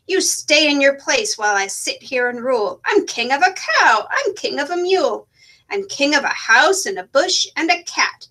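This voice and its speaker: Woman voicing a high, pompous storybook turtle king. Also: lively intonation, normal speed, pushed and bright. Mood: bossy. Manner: pompous, exclaiming, commanding. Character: boastful, bossy, power-hungry male turtle king. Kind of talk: children's storybook read-aloud.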